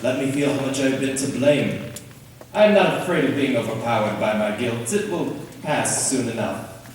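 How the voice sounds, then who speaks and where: A man playing a wry, self-reproaching older gentleman father in an amateur period stage play, with formal, deliberate delivery. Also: mid-range voice, close to an everyday voice. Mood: rueful. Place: theatre stage, miked from afar. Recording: echoey hall, distant mic, faint noise.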